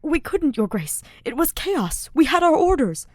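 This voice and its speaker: A woman's voice: high-pitched, big pitch swings, moderate pace, no room sound, voice pushed up. Female reader as rattled rank-and-file soldier, deferential, defensive, dutiful, audiobook acting, dry recording. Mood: panicked, defensive. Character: dutiful, shaken male soldier reporting to superior. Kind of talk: fan fiction audiobook character acting.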